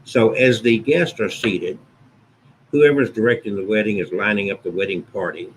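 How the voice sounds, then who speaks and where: A man who works as a wedding officiant, talking through the usual order of a ceremony in an unhurried, conversational way, often restating his points. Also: slight background noise, low-quality recording. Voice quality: booming voice. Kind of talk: instructional talk to camera.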